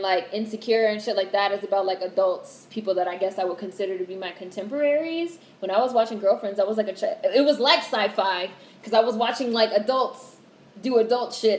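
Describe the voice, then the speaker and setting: A young woman in her twenties hosting a casual livestream, talking in a monotone way, candid and swearing casually as she reads out comments. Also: medium pitch. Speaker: livestream host. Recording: roomy echo with faint background hiss.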